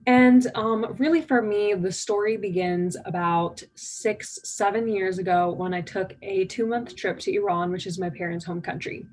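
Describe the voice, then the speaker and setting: A nineteen-year-old woman from the United States giving a conversational conference keynote, eager and full of fillers. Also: medium pitch. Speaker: keynote speaker.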